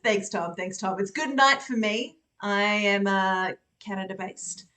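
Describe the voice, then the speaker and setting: A woman with an Australian accent hosting a casual live stream, chatty and quick to laugh, with lots of fillers. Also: mid-range voice, sharp tone. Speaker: host.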